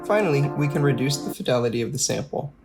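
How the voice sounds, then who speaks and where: A man's voice: medium pitch, animated, quick pace, gravelly. A man narrating a technical music device tutorial, explaining each step methodically. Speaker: presenter of an instrument demo. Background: loud demonstrated instrument sounds.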